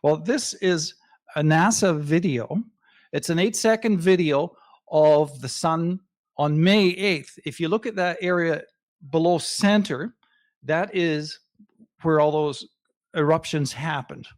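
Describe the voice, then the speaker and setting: Higher-voiced man presenting astronomy slides. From Canada. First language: not English. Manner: measured, emphatic lecturing with pauses.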